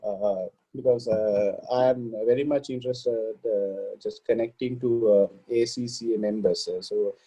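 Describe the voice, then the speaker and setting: A man's voice: lowish voice, steady tone, measured pace, few pauses, dark tone, slightly rough. A man from Sri Lanka, a podcast guest on a video call, speaking hesitantly with many fillers. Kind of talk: casual video-call interview. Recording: dull lo-fi sound.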